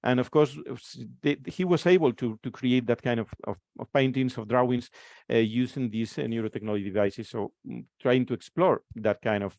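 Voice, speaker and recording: A man's voice: medium pitch, normal speed, gravelly, a little mellow, dry recording, clean studio sound. A man from Spain in his forties with a Spanish accent, giving a conference presentation and often repeating words as he restarts his phrases.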